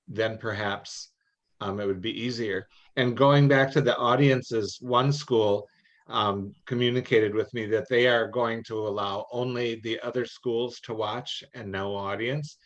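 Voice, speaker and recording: A man's voice: mid-range voice, steady tone, a little slow. A man giving informal advice as a co-presenter in a meeting, on dull, lo-fi audio.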